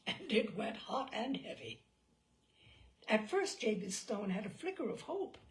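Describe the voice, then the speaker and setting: A woman's voice: fairly high pitch, creaky. A woman narrating a literary short story aloud in a dramatic storytelling style.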